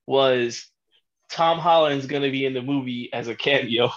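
Excitable joking man, muffled mic. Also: medium pitch, normal speed. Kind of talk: casual chat.

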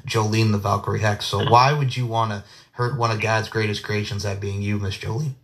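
A man's voice: fairly low pitch, fairly even intonation, brisk, a bit bright. A young man hosting a podcast, hyped and effusive, often repeating himself as he chats with his guest. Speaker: podcast host. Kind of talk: casual interview chat.

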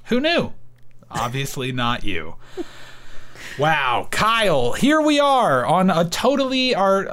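A man's voice: high-pitched, wildly swooping pitch, slow pace, fairly bright tone. Sarcastic young man co-hosting a podcast. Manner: joking, playfully sarcastic. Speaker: podcast co-host. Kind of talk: casual podcast chat.

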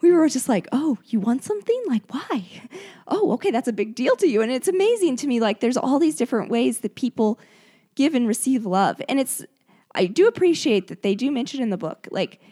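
A woman hosting a book show in casual conversation, her voice hoarse and gravelly and prone to cutting out. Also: high voice, big pitch swings, fairly quick, warm tone. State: hoarse, gravelly voice that comes and goes. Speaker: host of a book discussion show.

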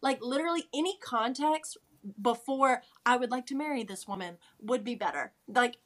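High-voiced woman chatting casually. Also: moderate pace, a bit bright. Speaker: podcast co-host. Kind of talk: casual recap chat.

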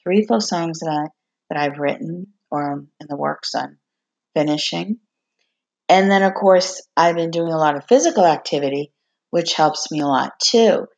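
Hoarse low-voiced woman, confiding. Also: normal speed, darkish tone. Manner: open, reflective, confiding. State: hoarse, losing her voice. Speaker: podcast host, singer-songwriter. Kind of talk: candid personal monologue. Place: her home. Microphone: computer recording.

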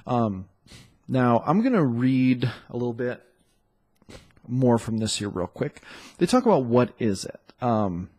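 Husky congested man hosting a podcast. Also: medium pitch, darkish tone. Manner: loose and chatty, with many fillers and self-corrections. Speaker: podcast host and dating coach. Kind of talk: casual solo podcast talk.

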